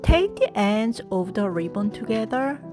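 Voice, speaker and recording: Slow-spoken woman with a Korean accent. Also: medium pitch, sing-song. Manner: short simple directions in non-native English. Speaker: craft tutorial host. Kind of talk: step-by-step crafting instructions. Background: soft piano music.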